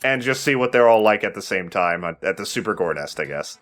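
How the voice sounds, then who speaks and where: A man's voice: lowish voice, animated, a little fast, a bit bright, faint music behind. A man hosting a let's play, chatting casually about a game and often rephrasing himself.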